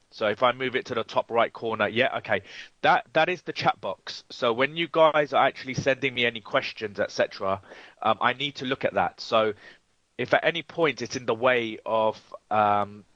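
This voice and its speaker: A man's voice: mid-range voice, fairly bright tone, no room sound. Sniffly man hosting a trading webinar. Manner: hesitant, many ums and restarts. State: has a cold, sniffly. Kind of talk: live webinar presentation. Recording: dry, dull lo-fi webinar audio.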